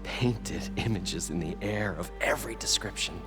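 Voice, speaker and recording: Deep voiced game character done by a male actor, excitable showman inventor, theatrical, music under it. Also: normal speed, natural voice. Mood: wonder, excited. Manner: dramatic, flowery storytelling. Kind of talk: video game cutscene acting. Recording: music behind the voice.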